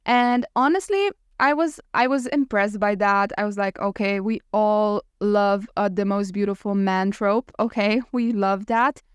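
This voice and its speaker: A woman co-hosting a podcast, chatting casually about books in an animated, opinionated and sweary way. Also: medium pitch, measured pace, few pauses, smooth, dry recording, studio quality. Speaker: podcast co-host. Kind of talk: casual book discussion chat.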